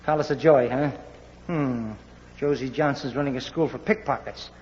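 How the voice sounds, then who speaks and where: An actor playing a wry, unflappable New York police detective with clipped hard-boiled delivery, in an old-time radio crime drama style. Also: male voice, mid-range voice, moderate pace, a little mellow, in a large hall, slight background noise, natural voice. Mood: brisk, inquisitive. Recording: dull lo-fi vintage radio recording.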